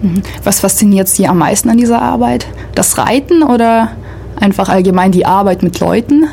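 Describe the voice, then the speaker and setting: A woman's voice: mid-range voice, moderate pace, gravelly, dark tone, slight background noise. A woman hosting a radio program, introducing her studio guest and asking friendly, prompting questions. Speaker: radio show host. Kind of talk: radio interview.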